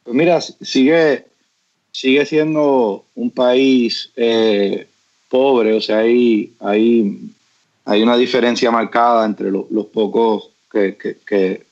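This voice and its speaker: Guest, lots of ums and restarts, kinda muffled audio. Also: male voice, medium pitch, steady tone, takes it slowly, darkish tone. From Puerto Rico. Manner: hesitant, many restarts and fillers. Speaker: business guest. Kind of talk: casual interview answers.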